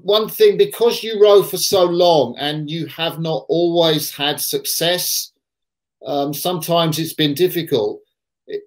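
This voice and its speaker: Older man hosting a video call interview. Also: on the high side. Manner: warm encouraging questions, rephrasing them simply. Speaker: interview host. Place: room at home.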